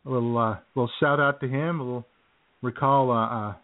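Male radio co-host, medium pitch, casual banter, jokey, rambling, hesitant, narrow phone-quality sound. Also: steady tone, brisk. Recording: thin phone-like audio.

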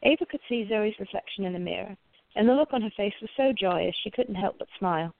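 A woman with a creaky voice reading scripted story narration for a radio play. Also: mid-range voice, a little fast, no echo. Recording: narrow phone-like, dry sound.

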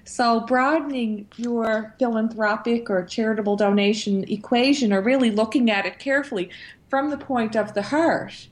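A woman's voice: on the high side, normal speed. A woman speaking as a panelist in a conversational discussion, reflective and earnest. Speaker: talk show panelist.

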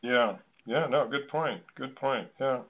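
A man moderating a discussion in a halting, informal, conversational way. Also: mid-range voice. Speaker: host and moderator. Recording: thin phone-line audio.

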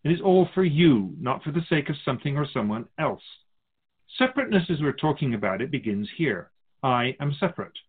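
A man's voice: mid-range voice, animated, a little fast, husky, narrow, tinny audio. A man playing an otherworldly keeper of souls in a radio drama, a wise, teasing mentor who muses theatrically in rhetorical questions. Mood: lecturing. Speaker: spirit guide in a radio drama.

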